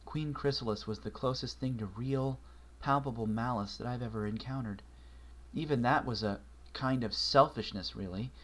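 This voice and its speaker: A man reading a story aloud, voicing an anxious, bookish young pony mare who talks earnestly and often in a hushed voice. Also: medium pitch, moderate pace, natural voice. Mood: reflective.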